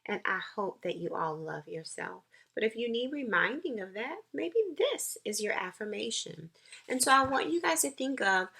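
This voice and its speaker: Slow, bright-voiced woman encouraging young children. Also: medium pitch, gravelly. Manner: encouraging, nurturing. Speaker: school counselor.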